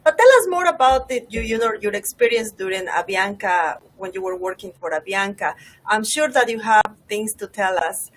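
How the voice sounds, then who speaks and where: A woman's voice: mid-range voice, animated, normal speed, slight background noise, low-quality recording. A woman from Bolivia interviewing a guest on a video call, asking questions in a friendly way.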